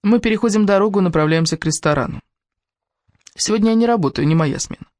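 A woman's voice: mid-range voice, fast talker, darkish tone, no room sound, studio quality. A woman reading a first-person novel aloud as scripted audiobook narration.

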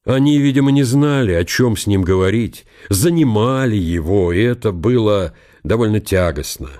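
A man narrating a historical story for a radio play, deliberate and broken into short phrases. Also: lowish voice, lively intonation, a little mellow.

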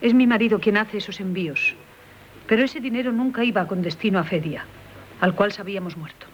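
An actress playing a distressed, dignified wife defending herself in 1970s radio theatre. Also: female voice, medium pitch, brisk, lightly acted. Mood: defensive. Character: distressed, dignified wife accused of bigamy, female. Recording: dull, noisy 1970s radio recording.

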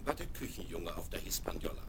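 A man narrating a children's radio play in an even storytelling manner. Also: low voice, quick pace. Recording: crackly 1970s vinyl radio play.

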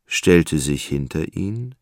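A man narrates a literary radio play in an extremely deep voice. There is a marked gravelly creak in it. The sound is close and dry, with no room around it. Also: lively intonation, slow, darkish tone, no room sound.

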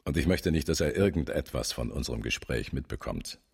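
Very deep, gravelly police chief. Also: male voice, expressive, brisk, darkish tone, full character voice. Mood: confidential, grim. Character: stern, sardonic senior police chief. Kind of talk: radio crime drama acting.

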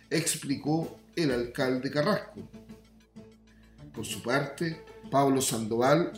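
A man with a Chilean accent presenting a scripted radio news bulletin. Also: mid-range voice. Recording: roomy echo, music bed behind. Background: music.

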